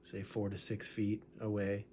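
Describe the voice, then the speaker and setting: A man leading a guided gratitude meditation in a relaxed, conversational way, often adding "right?" and small fillers. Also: fairly low pitch, a little slow, a little hiss or hum.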